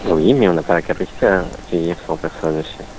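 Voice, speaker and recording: Low-voiced formal man on noisy call. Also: fast, a little mellow. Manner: polite, firm, procedural. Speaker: restaurant employee answering the phone. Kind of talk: formal telephone customer service. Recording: noisy recorded phone call.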